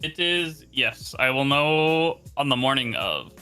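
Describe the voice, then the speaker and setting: A man's voice: mid-range voice, expressive, slow pace, sharp tone, clean tone. A man making short, casual replies as a guest co-player on a game stream. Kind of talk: casual chat, brief replies. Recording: music playing behind the voice.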